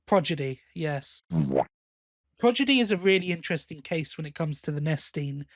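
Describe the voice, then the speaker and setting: A man's voice: a little high, lively intonation, normal speed, no room sound, studio quality. A man co-hosting a podcast, talking casually and enthusiastically as a fan. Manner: enthusiastic, appreciative. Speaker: podcast co-host.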